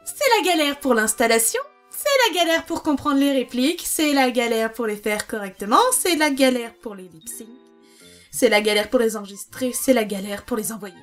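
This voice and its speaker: A woman's voice: fairly high pitch, animated, moderate pace, soft background music. A woman who voices a part in a fan dub, sounding playfully exasperated. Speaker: fandub voice actress. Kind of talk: casual recorded answer.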